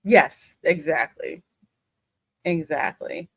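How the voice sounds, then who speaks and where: Unhurried, lowish woman commenting over a game. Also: animated, gravelly, fairly bright tone, husky, dry recording. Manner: emphatic, theorizing aloud. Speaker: gaming host. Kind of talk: game reaction and story commentary.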